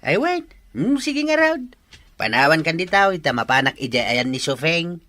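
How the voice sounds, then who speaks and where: A bright, forceful old Ilocano man, played by an actor in a radio drama, jovial and exclaiming. The pitch swoops wildly from phrase to phrase. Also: moderate pace, dry recording. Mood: lively. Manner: animated, exclaiming delivery.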